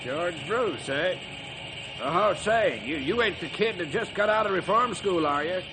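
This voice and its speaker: Noise runs behind a brisk small-town garage owner in old radio drama. He talks in a high voice with a blunt, folksy way. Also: animated. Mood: suspicious, dismissive. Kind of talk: old-time radio acting. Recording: noisy old radio recording.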